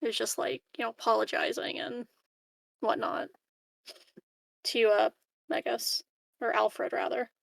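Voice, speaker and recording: A woman's voice: a little high, level tone, measured pace, vocal fry, long pauses, no echo. A woman chatting casually as a player in a tabletop roleplaying game, swearing freely and poking fun at herself. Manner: self-deprecating, swears freely. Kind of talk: casual in-game chat, often describing her character's words.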